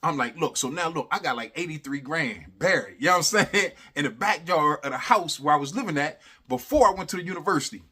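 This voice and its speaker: A man casually telling a funny personal story in animated, slangy talk, repeating phrases for emphasis and constantly checking in with the listener. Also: on the high side, fast talker, few pauses, breathy edge. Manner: animated, slangy, repeats phrases for emphasis, often adds "you know what I'm saying". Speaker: storyteller, host of a story series.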